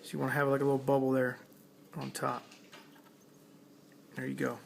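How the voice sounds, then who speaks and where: Guy doing a casual repair how-to, monotone, lots of vocal fry, talks while working, slight background noise. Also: mid-range voice, moderate pace. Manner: plainspoken, step-by-step, talking while working. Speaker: electronics hobbyist demonstrating a repair. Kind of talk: casual hands-on tutorial narration.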